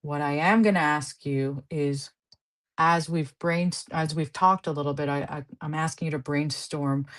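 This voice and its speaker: Earnest woman explaining patient care. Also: sits low. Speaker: guest clinician. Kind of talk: conversational podcast interview. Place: Southern California.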